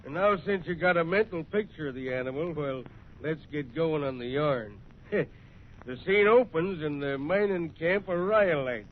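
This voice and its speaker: Guy playing old american ranger for a radio western, folksy and jolly, kinda high voice, real slow, tinny old recording. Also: animated, slight background noise, natural voice. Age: older. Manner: rustic, folksy, chuckling drawl. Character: folksy, jovial old frontier ranger spinning yarns. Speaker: storyteller. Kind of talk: 1930s radio western storytelling.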